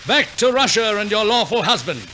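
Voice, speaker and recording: Man acting a high, declamatory, imperious Russian husband. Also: very animated, moderate pace, over music. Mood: commanding. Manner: declamatory, commanding. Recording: old 1940s radio recording.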